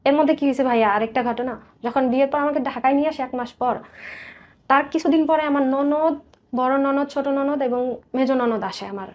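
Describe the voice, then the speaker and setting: Bangladeshi woman kinda high voice, telling her life story in an interview, earnest, lots of detail. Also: steady tone, a little fast. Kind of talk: personal storytelling in a radio interview.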